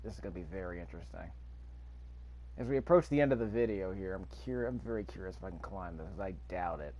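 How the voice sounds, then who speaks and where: A man's voice: sits low, lively intonation, fast, darkish tone, poor audio. A man giving casual gameplay commentary, thinking aloud to himself as he plays. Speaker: gamer recording a gameplay video.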